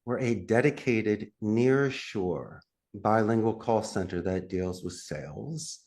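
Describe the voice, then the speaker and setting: Man, Philadelphia accent, podcast guest, punchy sales talk, big pitch swings, laughs a lot. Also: slow pace, a little mellow. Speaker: podcast guest, call center CEO. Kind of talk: animated interview answers.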